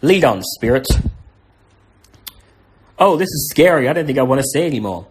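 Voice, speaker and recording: A repentant old miser acted by a man in a storybook reading. The voice is husky and swings widely in pitch, with dramatic, heartfelt delivery. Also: expressive, moderate pace. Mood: fearful. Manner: dramatic, pleading.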